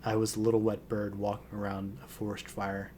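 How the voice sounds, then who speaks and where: A man with a slightly hoarse voice, hosting a relaxed poetry reading and hesitating often with ums and restarts. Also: on the low side, fairly quick, slight background noise. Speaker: poetry channel host. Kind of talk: casual intro and poetry reading.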